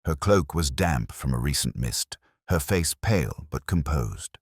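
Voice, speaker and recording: Very deep, husky male story narrator. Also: animated, measured pace, no echo, studio quality. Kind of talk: scripted literary story narration.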